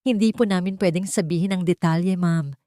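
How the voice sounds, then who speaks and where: A woman's voice: fairly low pitch, a little fast, dark tone, no echo, studio quality, lightly acted. A woman voicing a timid, apologetic office HR employee in a story. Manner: hesitant, polite. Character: apologetic, timid HR officer delivering bad news.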